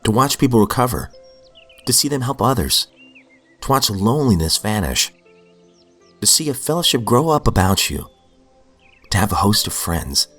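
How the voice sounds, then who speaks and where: Deep-voiced man, sniffly from a cold. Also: very animated, moderate pace, long pauses, a bit bright, faint music behind. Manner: earnest, reflective, with fillers and restarts. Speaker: podcast host reading daily recovery texts. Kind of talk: reading aloud then casual reflection.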